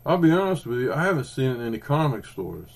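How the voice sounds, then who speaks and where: A man's voice: mid-range voice, very animated, fast, creaky. A man in his fifties with an Oklahoma accent, chatting casually as a podcast co-host, rambling with ums and restarts. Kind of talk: casual chat about comics.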